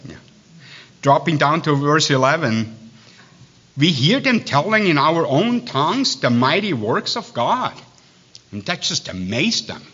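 A man with a Swiss accent preaches in a bright, pressing voice. He reads scripture plainly but keeps wandering into halting asides, restarting his words as he goes. The recording sounds dull and lo-fi. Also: on the high side, big pitch swings, breathy edge. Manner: digressive, halting, with many restarts and fillers. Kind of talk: sermon with asides.

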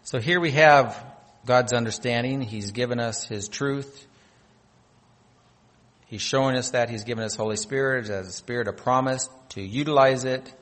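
A man's voice: medium pitch, moderate pace, a bit bright. A man from North Dakota delivers a sermon in a church hall, with a long echo trailing his words. He reads scripture aloud and swells in emphasis on key phrases. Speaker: pastor.

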